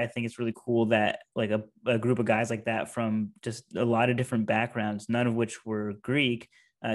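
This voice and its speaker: Guy cohosting a podcast, excited casual chat, lots of likes and uhs. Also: lowish voice, vocal fry. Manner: enthusiastic, many "like" and "uh" fillers. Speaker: podcast co-host.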